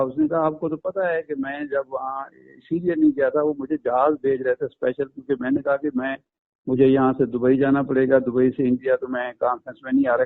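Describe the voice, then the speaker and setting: A man from Pakistan speaks over a tinny, phone-like line, his voice gravelly with fry. He talks in a measured, earnest way, often pausing to hesitate as he explains. The sound is dry and close, with no room around it. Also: mid-range voice, no echo. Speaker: interview guest.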